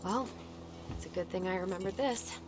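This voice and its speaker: A woman's voice: mid-range voice, normal speed, natural voice. A young woman with an American accent playing a guarded, practical character with dry wit in a video game cutscene. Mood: matter-of-fact. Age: young adult. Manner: dry, understated. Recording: noisy game stream capture with ambience.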